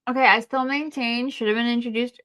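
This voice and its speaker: A woman's voice: a little high, steady tone, fairly quick, fairly bright tone. A woman co-hosting a casual review chat, blunt and wry with her opinions. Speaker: podcast co-host.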